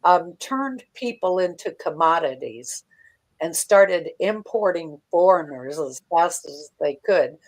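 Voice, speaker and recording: Older female American guest commentator. Unhurried, halting, blunt delivery in a slightly low register. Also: expressive, low-quality recording. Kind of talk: conversational political commentary.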